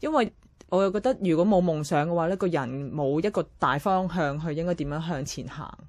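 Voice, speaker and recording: A woman's voice: low-pitched, animated, a little fast, darkish tone. A young woman with a Hong Kong Cantonese accent, earnestly explaining herself in a casual interview.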